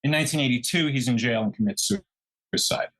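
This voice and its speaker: A man with a mid-pitched voice talks as a podcast co-host. He retells true crime in a casual, conversational way, voicing reactions like a storyteller among friends. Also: fast, rough recording. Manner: animated retelling, acts out quoted reactions.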